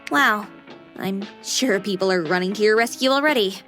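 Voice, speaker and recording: A woman's voice: mid-range voice, normal speed, fairly bright tone, lightly acted. A woman voicing a playful young female AI companion in a sci-fi visual novel, polite with a teasing edge. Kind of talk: visual novel game voice acting. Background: music.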